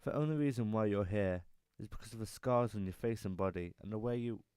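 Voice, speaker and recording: An actor playing a frank, downtrodden circus worker in a radio drama, earnest and plaintive. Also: male voice, on the low side, brisk, darkish tone, clean tone, no room sound, studio quality, lightly acted. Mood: frank, urgent.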